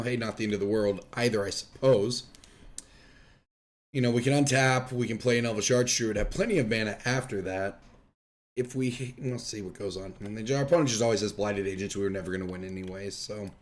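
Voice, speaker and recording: A man's voice: mid-range voice, a little fast. A young man hosting casual gameplay commentary while losing his voice from being sick, chatty and self-deprecating. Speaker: host.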